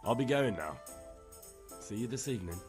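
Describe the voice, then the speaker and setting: Eager young village lad, male actor, playful game-cutscene delivery, animated mid-pitched voice, clear music underneath. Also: normal speed, close to an everyday voice. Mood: casual. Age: young adult. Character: eager, cheeky young blacksmith's son. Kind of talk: video game cutscene acting.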